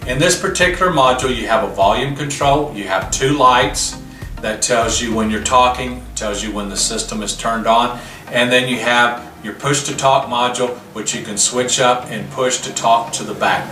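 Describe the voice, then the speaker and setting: A man's voice: mid-range voice, moderate pace, bright, forceful tone. Roomy-sounding man demonstrating a product. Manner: plainspoken, step-by-step explaining with rhetorical questions. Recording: roomy, distant mic, music behind.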